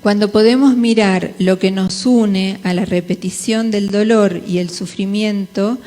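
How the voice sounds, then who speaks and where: A woman with an Argentine Spanish accent giving a conference presentation in a measured, hesitant manner. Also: mid-range voice, steady tone, dark tone. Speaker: conference speaker, jurist. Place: large conference hall. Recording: reverberant hall.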